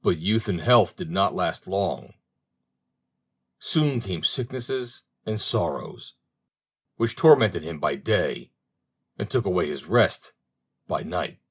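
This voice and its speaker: A man's voice: sits low, lively intonation, takes it slowly, pauses often, husky. A man reading a fairy tale as an audiobook narrator, in scripted storytelling style.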